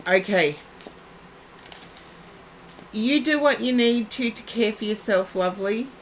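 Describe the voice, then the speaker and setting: Slow, husky-voiced woman psychic streamer. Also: mid-range voice, slight background noise, poor audio. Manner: soothing, reassuring, unhurried. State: losing her voice. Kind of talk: live-stream psychic reading.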